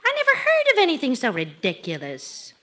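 An actress playing a sensible wife of about forty, scolding yet devoted, in an old radio sitcom. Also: female voice, high-pitched, wildly swooping pitch, fairly quick, a bit bright, heavily acted. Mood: soothing. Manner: motherly, reproving, coaxing. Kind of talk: 1940s-50s radio sitcom acting. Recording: vintage radio show recording.

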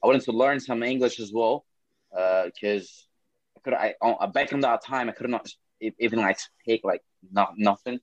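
A man's voice: medium pitch, normal speed, no echo, lo-fi sound. A young man from Brazil with a Brazilian Portuguese accent, speaking English as a second language in a casual interview, often repeating words and swearing casually. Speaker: MMA fighter.

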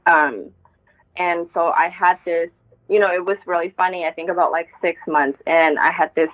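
A woman's voice: on the low side, steady tone, moderate pace. A poet in a casual interview, a woman from Indonesia, talking reflectively with many fillers and frequent laughs. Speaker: poet, guest. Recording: tinny phone-like line.

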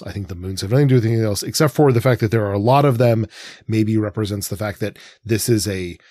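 A man's voice: fairly low pitch, a little mellow, slightly rough, dry recording. A man co-hosting a podcast, offering analytical commentary as he thinks aloud, often qualifying his points. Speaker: podcast co-host.

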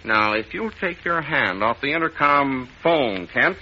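An actor playing a gruff, stubborn, exasperated police inspector in 1940s radio style, blunt and hectoring. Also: male voice, medium pitch, expressive, unhurried, sharp tone, heavily acted. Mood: angry, stubborn. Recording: muffled old radio drama recording.

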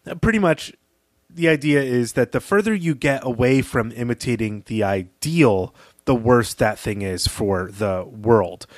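A man's voice: mid-range voice, lively intonation, a little slow, no room sound. Academic man co-hosting, close dry sound. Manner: academic, discursive. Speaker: podcast co-host. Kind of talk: analytical book discussion.

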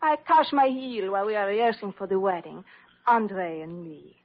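A woman's voice: medium pitch, normal speed, natural voice. A woman playing a sweet, naively charming French bride-to-be in an old-time radio drama, coy, with a French accent and broken English. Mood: innocent, bright. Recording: dull, lo-fi vintage radio recording.

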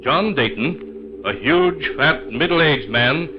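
A man's voice: fairly high pitch, expressive, background noise. A man acting as the ominous, solemn storyteller of a 1940s radio terror series, in a portentous dramatic style on an old muffled recording. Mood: solemn storytelling. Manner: slow, solemn, portentous delivery.

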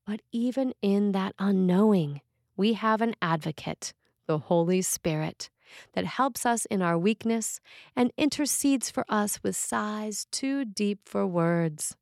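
Woman, medium pitch, preacher, scripted sermon delivery, very dry close recording. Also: slow pace, creaky, darkish tone, no room sound. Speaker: Episcopal priest reading a sermon.

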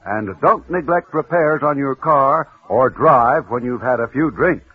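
A man's voice: medium pitch, expressive, unhurried, husky. An American man as a 1940s radio announcer, reading formally and emphatically. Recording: muffled, lo-fi 1940s radio broadcast.

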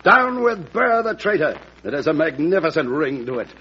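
A man's voice: on the high side, very animated, normal speed, bright, forceful tone, lo-fi sound, full character voice. An actor playing a proud, wounded statesman accused of treason, theatrical and impassioned, in a classic radio drama. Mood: wounded, defiant. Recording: noisy, band-limited old radio recording.

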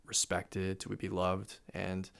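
A man in casual podcast conversation, telling a story with laughs and lively asides. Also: low voice, slow pace, vocal fry, smooth. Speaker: guest speaker.

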